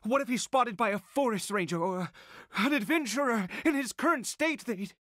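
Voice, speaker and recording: A man's voice: very high voice, lively intonation, pushed and bright, no echo, studio quality, heavily acted. An anguished, wildly swooping fanatical father. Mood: worried, dismayed. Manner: halting, dramatic, repeats words with frantic urgency. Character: anguished fanatical father searching desperately for his son. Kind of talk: video game voice acting.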